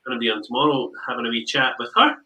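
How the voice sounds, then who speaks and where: A man's voice: mid-range voice, very fast, sharp tone. A man with a Scottish accent hosting a show, chatting casually and teasing his guest in a jokey way. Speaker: podcast host. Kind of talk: casual chat.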